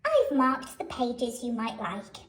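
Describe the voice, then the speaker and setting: A woman's voice: fairly high pitch, huge pitch swings, slow pace, slight background noise, pitched above the performer's own voice, full character voice. A woman voicing a friendly, helpful little skittle boy.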